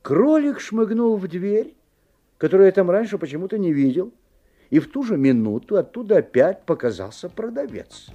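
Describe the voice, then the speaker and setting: An actor playing a wary, observant father who narrates a strange tale expressively, with theatrical pauses. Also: sits high, sing-song, dark tone, dull audio, exaggerated voice. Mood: wondering, uneasy. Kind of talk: dramatic literary narration. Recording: 1970s audio play, music behind.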